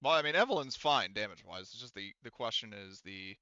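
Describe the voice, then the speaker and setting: Male game master, casual and profane, smooth tone, close dry sound. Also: on the low side, expressive, fast, bright, forceful tone, no echo, highs cut off. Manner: informal, swears freely. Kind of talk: casual tabletop game narration and rules talk.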